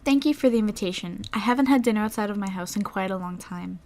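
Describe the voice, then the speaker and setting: A woman playing a shy, polite young woman in a wartime audio drama, speaking softly and hesitantly. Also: mid-range voice, fast, lightly acted. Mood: polite, pleased.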